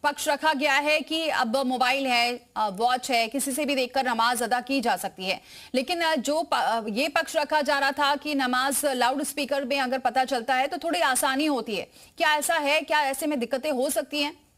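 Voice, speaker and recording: A woman's voice: sits high, normal speed, a bit bright. A woman anchoring live television news, putting questions to reporters and handing over between them.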